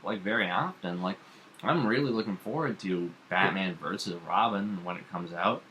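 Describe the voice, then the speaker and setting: A man's voice: low-pitched, moderate pace, sharp tone, a little hiss or hum, rough recording. A man talking as a podcast co-host in casual, opinionated pop culture chat, informal, rambling and emphatic.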